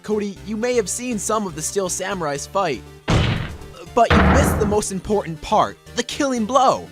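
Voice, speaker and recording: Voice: medium pitch, big pitch swings, normal speed, close to an everyday voice. An actor playing an earnest, dogged young male defense lawyer in a fan-dubbed courtroom drama, pressing hard yet sometimes unsure. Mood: forceful. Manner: pressing, declamatory, hesitant asides. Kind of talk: fan dub courtroom game acting. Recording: amateur dub with clear music behind.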